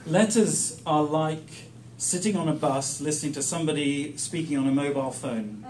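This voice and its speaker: A man preaching in short, emphatic, plain-spoken phrases, pausing for an interpreter. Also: fairly high pitch, a little mellow. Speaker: guest preacher teaching the Bible. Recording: echoey room, distant mic, faint noise.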